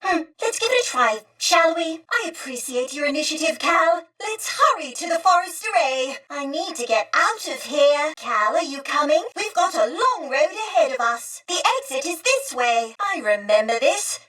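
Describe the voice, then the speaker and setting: A courteous female droid in a video game, high-pitched and crisply bright. The droid speaks in formal, old-fashioned phrases, prim and a little fretful. The voice sounds slightly distant from the mic. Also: expressive, moderate pace, few pauses. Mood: encouraging, eager, then wistful. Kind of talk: video game character dialogue.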